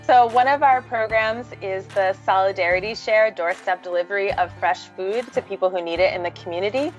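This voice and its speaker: A woman's voice: mid-range voice, brisk. A woman speaking as a farmer and activist, explaining her work in a documentary interview style. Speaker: farmer and food justice activist. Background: background music.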